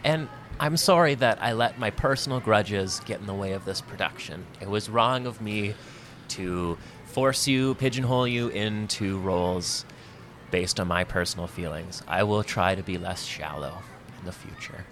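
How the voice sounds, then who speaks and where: A man performing in an improvised comedy scene, playful and quick to laugh, sometimes dropping into a low, somber tone. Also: a bit bright, slight background noise.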